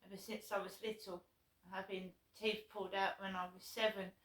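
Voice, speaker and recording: A hoarse woman with a sore throat, telling a rambling personal story to her vlog audience, repeating herself and swearing freely. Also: mid-range voice, level tone, normal speed, a bit bright. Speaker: vlogger. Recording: echoey room, voice from a distance.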